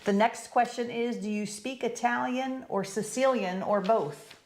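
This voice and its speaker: A woman's voice: on the high side, fairly even intonation, unhurried. An older American woman co-hosting a casual question-and-answer chat, upbeat and chatty as she leads the questions. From United States. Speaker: co-host.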